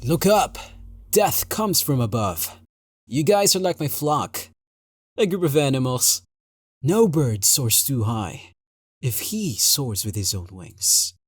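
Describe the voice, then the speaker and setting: A man performs a cryptic, brooding male shooter-game agent with a crow theme. He delivers short, dramatic one-liners in a lively, varied pitch. The recording is close and dry, without room sound. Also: slow, breathy edge, no echo, heavily acted. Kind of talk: video game character voice lines.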